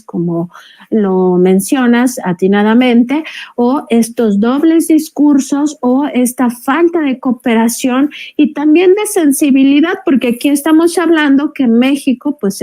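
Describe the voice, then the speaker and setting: A woman's voice: on the high side, darkish tone. A woman with a Mexican accent speaking as a journalist in a political panel discussion, emphatic and critical, repeating herself as she builds her point.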